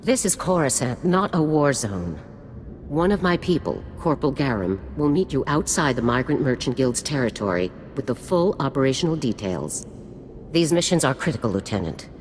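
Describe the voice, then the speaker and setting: A stern female military general from a video game, with a very low voice that moves expressively. She gives orders with formal, clipped authority in a space with long reverb. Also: very animated, moderate pace, darkish tone. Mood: grave, commanding. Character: stern, commanding female special forces general. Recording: long reverb with faint noise.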